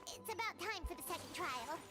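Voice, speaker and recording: An actress voicing a small, cheerful fairy girl companion with a squeaky voice in a video game. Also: expressive, brisk, loud music behind the voice. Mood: upbeat, eager.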